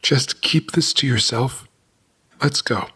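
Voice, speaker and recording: A man's voice: medium pitch, slow, bright, forceful tone, slightly rough, faint music behind, exaggerated voice. Terse, guarded male cop. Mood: defensive. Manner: terse, evasive. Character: shifty, guarded male police officer. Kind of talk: naturalistic audio drama dialogue.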